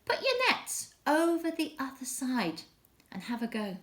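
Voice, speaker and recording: A woman's voice: fairly high pitch, sing-song, a little slow, a bit bright, heavily acted. A woman voicing a kindly man calling to his friends in a children's Bible story, with simple, inviting lines. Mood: encouraging.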